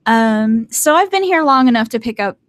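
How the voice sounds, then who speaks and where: A woman's voice: a little high, expressive, normal speed, no room sound. A woman with a light North Carolina Southern accent chatting casually on a live stream, rambling and laughing often. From Southern United States. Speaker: streamer hosting a live hangout.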